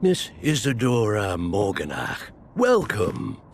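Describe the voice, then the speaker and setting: A man playing a dignified, courteous wizard school professor with a formal, measured manner, in video game dialogue. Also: fairly low pitch, huge pitch swings, a little hiss or hum, heavily acted. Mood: courteous, reflective.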